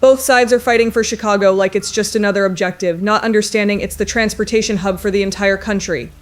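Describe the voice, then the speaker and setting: A woman playing a paramedic who phones a radio show in a war drama, giving a grave, measured eyewitness account. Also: medium pitch, steady tone, lightly acted. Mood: grim, measured. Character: grim, weary female paramedic witnessing a city siege. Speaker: radio caller.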